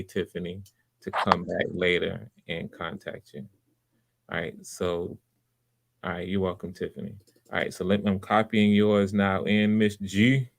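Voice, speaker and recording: A man hosting live auditions in casual, unscripted chat, with an encouraging manner and a halting way of repeating and backtracking. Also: sits low, gravelly, no echo. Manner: encouraging, supportive. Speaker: host running live voice auditions.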